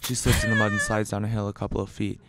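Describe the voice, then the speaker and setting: A man's voice: lowish voice, little pitch movement, racing. A man reading a scripted radio play as narrator in an amateur way, with noisy sound effects behind him.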